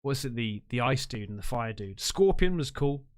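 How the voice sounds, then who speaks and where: A man hosting a live stream, chatting with callers in a chummy, excitable way full of slang. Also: medium pitch, moderate pace, clear, resonant tone. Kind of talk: casual live chat.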